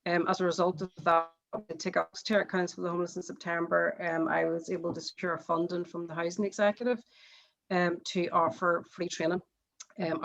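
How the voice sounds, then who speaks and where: A woman's voice: on the low side, creaky, a bit bright, highs cut off. A woman from Northern Ireland with a Northern Irish accent, a charity chief executive introducing herself on a panel, hesitant with many fillers and restarts. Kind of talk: panel introduction on a video call.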